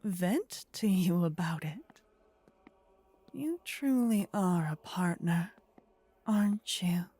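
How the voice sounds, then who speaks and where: A woman playing a possessive, warlike demon queen in an intimate ASMR roleplay, speaking in a breathy whisper with measured, formal, regal phrasing. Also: fairly low pitch, lively intonation, mellow, no echo, full character voice. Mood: tender, grateful.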